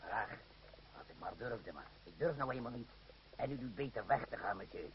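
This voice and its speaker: A man's voice: mid-range voice, lively intonation, normal speed, natural voice. An actor playing a timid, fearful ferryman in an old radio play, hesitant and deferential. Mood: nervous, reluctant. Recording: noisy, dull old radio play recording.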